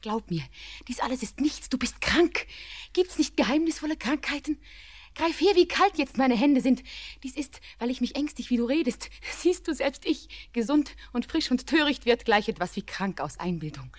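Classic radio drama: high-pitched young beloved, anxious and fervent, poetic stage diction. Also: female voice, expressive, normal speed. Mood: tender, anxious. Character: young woman in love, anxious, devoted, pleading.

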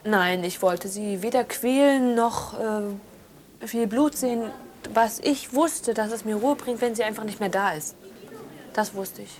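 An actress playing a detached woman confessing, speaking haltingly and matter-of-factly, with repetitions, in a naturalistic radio drama. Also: fairly high pitch, darkish tone, close to an everyday voice. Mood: detached, insistent.

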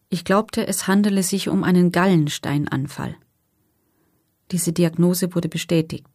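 An actress playing a former female prisoner who gives sober, measured, matter-of-fact testimony in a documentary radio drama. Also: fairly low pitch, a little mellow, dry recording, clean studio sound.

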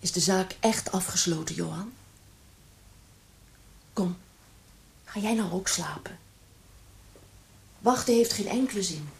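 A woman acting a weary, concerned wife in a radio drama, soft and pleading. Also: lowish voice, slow, vocal fry, long pauses. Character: weary, concerned wife coaxing her husband to bed.

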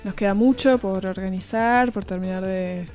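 Argentine woman hosting, mid-pitched voice. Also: moderate pace, a little mellow. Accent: Rioplatense Argentine. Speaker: radio show host. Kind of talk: casual radio chat. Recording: music under the voice. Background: music.

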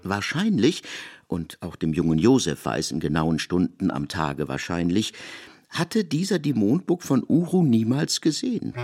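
A male narrator reads literary prose with a lower-set voice and a creaky grain. His delivery is measured yet highly animated in intonation, shaping long sentences expressively.

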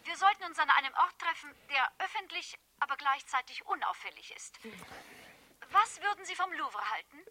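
A high, very bright female voice as a genteel blackmailer in a seventies radio drama. She is sugary and over-polite, with an insinuating edge. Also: animated, moderate pace. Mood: businesslike. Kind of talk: 1970s radio play acting.

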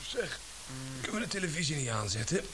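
An actor playing an easygoing, cheeky photographer sidekick in a Dutch radio drama, with a joking, offhand delivery. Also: male voice, on the high side, very animated, fast talker, background noise.

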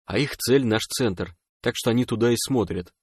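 A man voicing a calm, reassuring young man who speaks in a hushed near-whisper, in an audiobook story. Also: medium pitch, normal speed, slightly rough, no echo, clean studio sound, natural voice. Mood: hushed, cautious. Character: calm, joking young male lover, reassuring.

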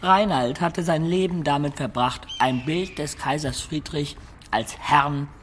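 A man's voice: fairly high pitch, measured pace, slight background noise, natural voice. An actor playing a worldly medieval man who explains political intrigues emphatically and expressively in a radio drama. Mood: animated, sly.

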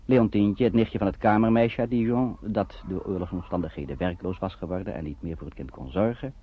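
A man's voice: low voice, brisk, a little hiss or hum. A man narrating a radio play in a dramatic, scripted storytelling style. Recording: muffled, phone-like old radio recording.

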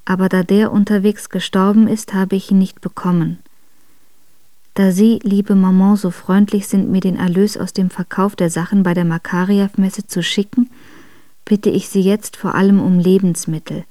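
A woman playing a devoted young exiled noblewoman in a radio drama, reading her letters in a measured, intimate and formal way. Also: medium pitch, level tone, warm tone, no echo, lightly acted. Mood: composed, pleading.

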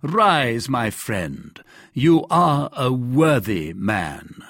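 A man voicing a commanding, cunning cardinal in an audiobook, deliberate and smoothly condescending. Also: medium pitch, big pitch swings, a bit bright, no room sound, studio quality, heavily acted. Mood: falsely kind. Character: commanding, cunning, falsely benevolent male cardinal.

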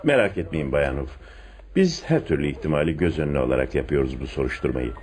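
A courteous police inspector in a radio drama, very low-voiced and lively in intonation. He is formal but hesitant, a smitten official who easily gets flustered. There is a faint noise on the recording. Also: fast talker. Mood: official, polite. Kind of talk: radio theatre acting.

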